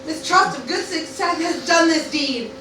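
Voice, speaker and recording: A woman's voice: very high-pitched, a little slow. A woman playing an earnest, grieving teenage girl as a Roman soldier, lamenting dramatically in an amateur Shakespeare radio parody. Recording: very echoey, distant mic, faint noise.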